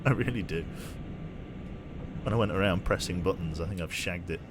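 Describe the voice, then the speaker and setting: A British man streaming a train game, chatting casually and getting easily excited, swearing now and then. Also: moderate pace. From United Kingdom. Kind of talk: casual gameplay commentary. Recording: loud train rumble behind voice. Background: train simulator engine and rail noise.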